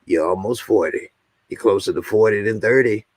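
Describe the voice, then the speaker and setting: Joking man in his forties, low voice. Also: moderate pace, husky, no echo. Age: 40s. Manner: joking, repeats lines for emphasis. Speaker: YouTube show host. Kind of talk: casual chat to viewers.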